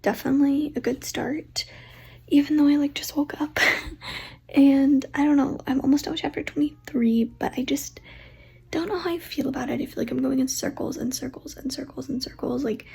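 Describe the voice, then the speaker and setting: A woman's voice: sits high, steady tone, moderate pace, vocal fry, darkish tone, dry recording. A teenage girl and author vlogging casually, rambling in a stressed, self-mocking way with a sleepy-sounding voice. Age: teens. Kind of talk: casual vlog chat.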